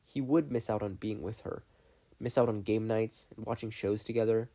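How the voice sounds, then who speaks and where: Mellow fan fiction narrator. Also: mid-range voice, steady tone, moderate pace. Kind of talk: scripted story narration.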